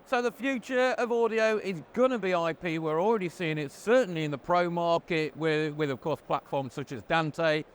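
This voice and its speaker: A man presenting a product explainer interview, talking in a measured, explanatory way on a trade show floor. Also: fairly high pitch. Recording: faint background noise. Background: faint ambient noise.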